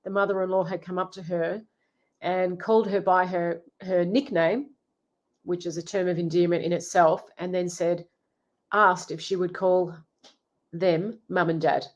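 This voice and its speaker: A woman's voice: fairly low pitch, level tone, a little slow, muffled recording. A middle-aged woman relationship coach hosting a live Q&A, chatty and full of ums and restarts, with a head cold. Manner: chatty, warm greetings, frequent ums and restarts. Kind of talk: live Q&A chat.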